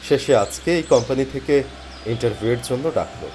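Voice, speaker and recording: A man playing a weary, penniless job seeker who tells his story in expressive, plaintive dramatic narration. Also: medium pitch, fairly quick, background noise, close to an everyday voice. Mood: plaintive, friendly. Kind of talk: first-person dramatic story narration and character dialogue. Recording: music behind the voice. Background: background music.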